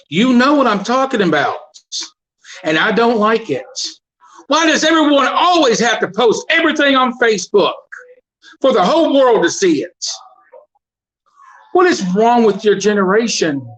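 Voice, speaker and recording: A man performing a monologue as an exasperated, fatherly high school teacher, scolding and sardonic, over a video call. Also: big pitch swings, a little slow, long pauses, a bit bright, exaggerated voice, poor audio.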